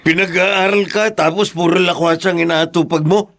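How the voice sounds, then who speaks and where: A man's voice: sits high, steady tone, normal speed, fairly bright tone, no echo, clean studio sound, faint music behind. An actor playing a troubled, guilt-ridden middle-aged father and ex-convict in an emotional radio drama. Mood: angry, scolding. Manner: emotional, brooding or scolding.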